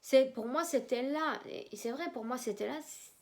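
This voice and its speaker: A woman's voice: high-pitched, normal speed. Young woman vlogging. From German-speaking Belgium. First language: German. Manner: rambling, repeats herself, hesitant. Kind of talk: casual storytelling to camera.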